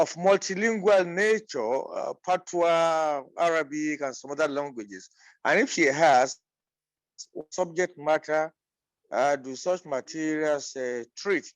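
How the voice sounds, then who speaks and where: A man from Nigeria puts a question to the speaker in a fairly high voice. He halts often, with many uhs. The sound is dry and close. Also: no room sound, low-quality recording. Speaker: audience member asking a question.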